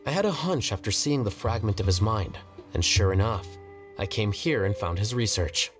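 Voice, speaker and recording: An actor playing an aloof, rational young scholar in a video game, speaking in a measured, formal and detached way. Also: male voice, on the low side, animated, music in the background, lightly acted. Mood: composed, matter-of-fact.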